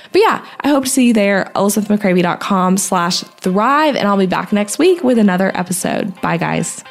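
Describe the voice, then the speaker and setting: A young woman hosting her own podcast, talking casually and a little hoarse from a sore throat, dropping in "like" often. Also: fairly low pitch. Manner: chatty, uses "like" a lot. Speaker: podcast host and web designer. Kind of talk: casual solo podcast talk.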